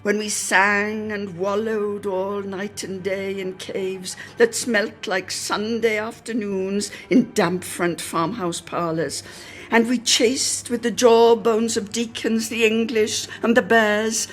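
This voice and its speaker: A woman's voice: mid-range voice, fairly even intonation, slow pace, soft background music. A woman giving a dramatic, theatrical reading of a poetic literary memoir, drawing out phrases for emphasis. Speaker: solo reader.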